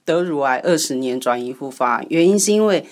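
A woman's voice: low-pitched, animated, a little fast. A woman speaking as an interview guest, explaining her writing in a conversational, storytelling way. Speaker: guest, radio drama writer.

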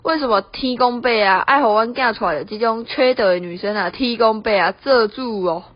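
A bossy Taiwanese mother-in-law acted by a young woman who nags and scolds in mixed Hokkien and Mandarin. Her voice sits fairly high. It is an amateur radio play with a muffled, roomy recording. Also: normal speed, lightly acted. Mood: indignant. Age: young adult. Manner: nagging, switches between Hokkien and Mandarin. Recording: dull, lo-fi, roomy home recording.

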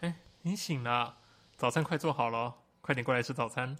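A man's voice: mid-range voice, fairly quick, a bit bright, natural voice. A man voicing an easygoing young man who talks in a playful, teasing way.

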